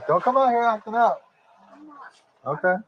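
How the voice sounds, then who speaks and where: A man's voice: high-pitched, animated, normal speed, dull audio, background noise. A man working as a police officer, giving firm, insistent, repeated orders in an exasperated way. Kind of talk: firm, repetitive commands at a scene.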